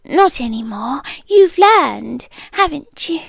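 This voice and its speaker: A British woman playing a possessive, calmly menacing yandere girlfriend in an intimate ASMR roleplay, breathy and often dropping to a whisper. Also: high voice, no room sound, clean studio sound, heavily acted. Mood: condescending, tender. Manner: slow, deliberate, breathy, drifting into whispers.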